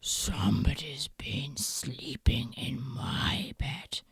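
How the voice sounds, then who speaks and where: A woman voicing a big father bear in a storybook, low and hushed. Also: expressive, drawn-out words, creaky, slightly rough, no echo, in a whisper, clean studio sound, heavily acted. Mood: gruff.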